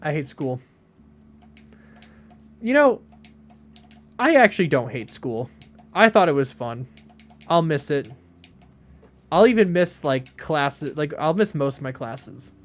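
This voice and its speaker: Deadpan young man, slightly high voice. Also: slow pace, pauses often. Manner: deadpan, flat delivery even when joking; rambling, many fillers and restarts. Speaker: game streamer. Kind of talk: casual live-stream chat.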